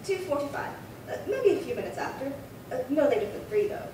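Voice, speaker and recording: Woman playing a fussy, high-voiced old lady in echoey hall. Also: sing-song, brisk, warm tone, distant mic. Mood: fussy, defensive. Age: older. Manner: rambling, flustered, indignant old-lady talk. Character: fussy, chatty, proud elderly spinster, burglary witness. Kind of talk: 1950s radio crime drama acting. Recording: echoey room from afar, noisy rumble.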